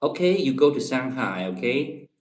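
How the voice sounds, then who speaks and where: A man's voice: medium pitch, very animated, normal speed, reverberant. A man from China with a Chinese accent, hosting a casual livestream chat with viewers, often repeating himself and tagging his sentences with "okay?". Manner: repeats phrases, tags lines with "okay?". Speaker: livestream host.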